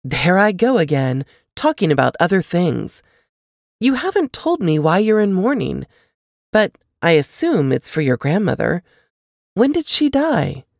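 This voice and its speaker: Woman reading as a caring, lively-voiced older sister. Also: lowish voice, unhurried, creaky, no room sound, clean studio sound, heavily acted. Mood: chatty, caring. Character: caring, practical older sister, homely and protective.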